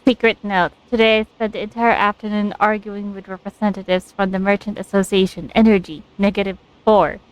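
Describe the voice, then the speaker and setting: A woman's voice: mid-range voice, fairly even intonation, a little fast, hardly any pauses, clean tone, poor audio. A woman game streamer giving casual playthrough commentary and reading bits of text aloud.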